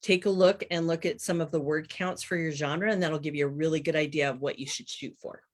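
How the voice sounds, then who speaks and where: A woman's voice: low-pitched, fairly even intonation, fairly quick. A woman in her fifties speaking as a guest author, chatting casually and explaining things in an interview. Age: 50s.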